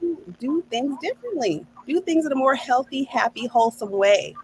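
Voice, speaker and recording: A woman's voice: high-pitched, animated, moderate pace, a little mellow, slight background noise, poor audio. A middle-aged woman hosting a podcast on a video call, speaking in an affirming way and laughing often. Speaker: podcast host, neuro coach. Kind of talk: casual podcast conversation. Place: home office.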